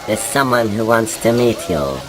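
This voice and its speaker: An actor playing a calm, matter-of-fact male alien security chief in a radio drama. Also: mid-range voice, normal speed, music in the background, close to an everyday voice, low-quality recording.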